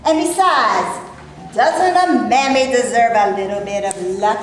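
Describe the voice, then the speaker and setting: A very echoey recording of a staged play reading. An actress plays a wry, indignant maid who dreams of acting, a woman with a fairly high voice and plenty to say. There is faint noise around her. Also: a little slow, lightly acted. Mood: playful.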